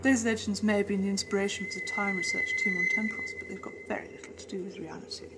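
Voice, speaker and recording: A woman's voice: mid-range voice, fairly even intonation, fast talker, lightly acted. A woman playing a wry, cynical scientist aboard a spaceship in a science-fiction audio drama, with a dry, sardonic manner. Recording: noisy amateur 1980s audio drama tape.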